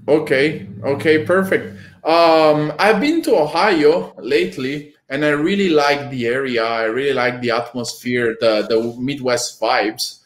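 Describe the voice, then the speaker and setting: A man's voice: medium pitch, a little hiss or hum. Italian man hosting with a roomy echo. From Italy. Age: young adult. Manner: measured, slow questions with many fillers. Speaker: host, performance nutritionist. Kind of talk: video call interview. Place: home room with bookshelves. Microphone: video call. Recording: echoey room on a video call.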